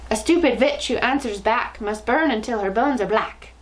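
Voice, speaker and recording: A woman's voice: fairly high pitch, moderate pace, lightly acted, poor audio. A woman voicing a tyrannical witch queen with a harsh, guttural foreign accent who snarls, shrieks and rolls her Rs. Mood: furious, shrieking. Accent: harsh guttural foreign accent, odd W and rolled R.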